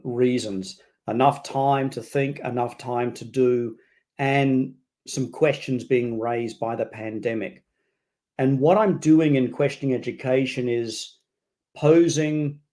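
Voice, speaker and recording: Australian male, middle-aged, mid-pitched voice, expert guest explaining thoughtfully in conversation. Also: a little mellow. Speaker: interview guest, education expert and author. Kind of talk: conversational interview answers.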